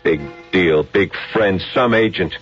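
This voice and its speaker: An American actor playing a jealous, hot-tempered husband in a radio drama, terse and accusing. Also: male voice, low-pitched, a little slow. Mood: bitter narrating. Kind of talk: radio drama acting with hard-boiled narration. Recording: muffled old radio drama, faint music.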